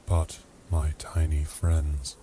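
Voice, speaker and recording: A man voicing a mountain-sized ancient giant who speaks in a soft, hushed, solemn voice. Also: extremely low pitch, flat delivery, breathy edge, voice pushed down, full character voice. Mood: serene. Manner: slow, solemn, ponderous.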